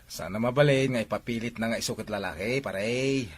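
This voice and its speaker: An actor playing an inquisitive, teasing friend in a radio drama, raspy-voiced with a Filipino Ilocano accent. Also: male voice, medium pitch, fairly quick, lightly acted. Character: inquisitive, teasing male buddy.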